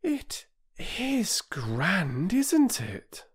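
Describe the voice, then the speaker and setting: A man's voice: high-pitched, wildly swooping pitch, drawn-out words, breathy edge, pitched above the performer's own voice, full character voice. A man reading aloud and voicing an earnest, anxious young girl with eager, pleading questions. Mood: awed.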